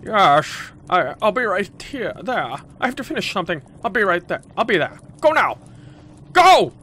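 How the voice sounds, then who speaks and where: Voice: medium pitch, very animated, moderate pace, sharp tone, slightly rough, exaggerated voice. A let's player voicing a grumpy, absent-minded old male game wizard, pompous and blustering, lapsing into hesitant mutters. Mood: cranky, boastful.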